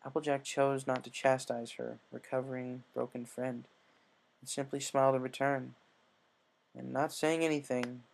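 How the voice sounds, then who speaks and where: A man reading a scripted story as narrator, in a measured way with occasional stumbles. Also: mid-range voice, little pitch movement, vocal fry, low-quality recording. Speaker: story reader.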